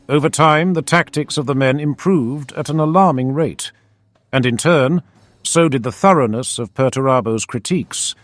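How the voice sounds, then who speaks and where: A man narrating a scripted fantasy lore story in a dramatic, expressive reading. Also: mid-range voice, no room sound. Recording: faint background music.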